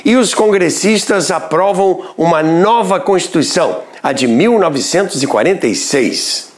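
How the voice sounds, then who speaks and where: A man, narrating a scripted history documentary in a measured storytelling delivery. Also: high voice, lively intonation.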